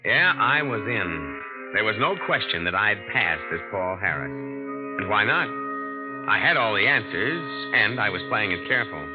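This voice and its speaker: A dulled vintage radio drama recording with music behind it. A cool, scheming impostor talks in a low voice, casual and slangy like a hard-boiled first-person narrator. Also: male voice, very animated, normal speed. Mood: cool, confiding. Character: smooth-scheming male impostor posing as an heir, narrating. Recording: muffled old radio recording.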